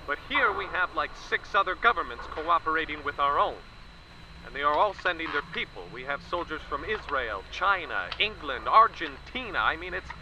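An actor playing a seasoned male war correspondent reporting live, plain-spoken with halting restarts. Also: a little high, dull audio, lightly acted. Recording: loud vehicle and wind noise.

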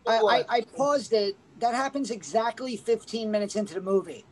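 A woman's voice: a little high, moderate pace, a little mellow. A woman casually reviewing a movie as a podcast co-host, rambling with many "like"s. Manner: rambling, full of "like"s, laughs.

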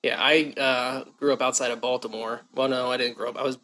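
Brief: young male podcast host from Ohio, mid-pitched, relaxed and agreeable. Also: a little fast. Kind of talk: casual conversation.

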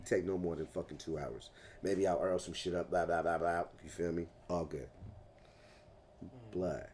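A man with a very low, hoarse voice holds forth in casual podcast chat, swearing freely and telling boisterous stories. A faint hiss of noise sits under the recording. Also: warm tone. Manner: profane, boisterous storytelling. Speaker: podcast host.

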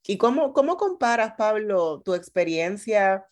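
A woman's voice: medium pitch, very animated, a little slow, darkish tone. A woman interviewing a guest, asking long questions in several parts and closing them with "¿no?" or "¿verdad?". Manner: long multi-part questions with "¿no?" and "¿verdad?" tags.

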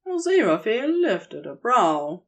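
A woman's voice: fairly high pitch, very animated, unhurried. A woman narrating scripted romantic fan fiction in an intimate storytelling style.

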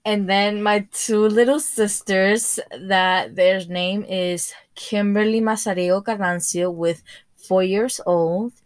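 Slow, careful teenage girl. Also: medium pitch, steady tone, no room sound. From Spain, raised in Ecuador. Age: teens. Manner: slow, careful, searching for English words. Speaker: interviewee. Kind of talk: school podcast interview.

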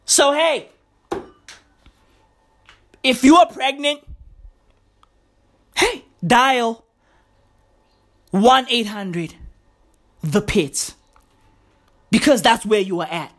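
A young woman who hosts a podcast rants in profane, slang-heavy bursts. Her voice is going hoarse. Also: fairly high pitch, extremely slow, pauses often, fairly bright tone. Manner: profane, slangy, emphatic ranting. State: losing her voice. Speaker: podcast host. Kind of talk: comedic podcast rant.

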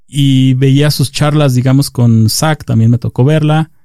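Relaxed man hosting a chat. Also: medium pitch, a little slow, dry recording, studio quality. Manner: relaxed, chatty, explains to listeners. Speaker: podcast host. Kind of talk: casual interview chat.

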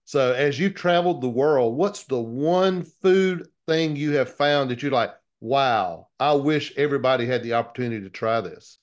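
A man's voice: mid-range voice, lively intonation, moderate pace, few pauses. A man co-hosting a casual podcast, asking easygoing questions. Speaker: podcast co-host. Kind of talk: casual interview chat.

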